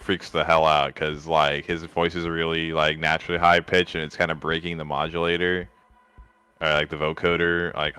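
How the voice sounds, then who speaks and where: A man with a very deep, bright voice plays a tabletop game. He speaks casually and haltingly, narrating his character's actions. The sound is dry and close. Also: clear, resonant tone, no echo. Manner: halting, peppers talk with "like". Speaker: player.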